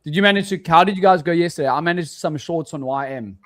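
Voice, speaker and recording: A man's voice: fairly high pitch, fast, fairly bright tone. A man hosting a trading livestream in casual, slangy, laid-back chat. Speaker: livestream host, futures trader.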